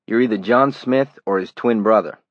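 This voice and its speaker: A man voicing a pushy male tabloid reporter with a flat, nasal Brooklyn accent. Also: on the low side, normal speed, dry recording, muffled recording, natural voice. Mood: breezy. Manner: pushy, glib salesman patter.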